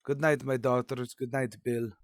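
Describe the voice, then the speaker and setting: A man playing a tired, gentle old immigrant father in a radio soap drama. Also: medium pitch, little pitch movement, moderate pace, natural voice. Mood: tired, fond.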